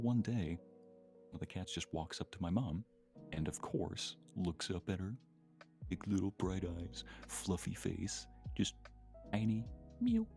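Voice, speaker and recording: Low-voiced man in casual chat. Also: a little mellow. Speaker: streamer, guest.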